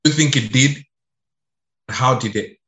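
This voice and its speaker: A man's voice: mid-range voice, a little slow, a bit bright, echoey room. A man co-hosting an interview, asking long, hesitant questions full of fillers. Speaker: interview co-host.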